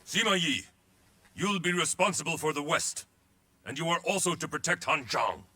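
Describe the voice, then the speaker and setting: A man's voice: on the high side, animated, normal speed, sharp tone. An actor playing a male warlord ruler who speaks in an authoritative, proclaiming way. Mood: commanding.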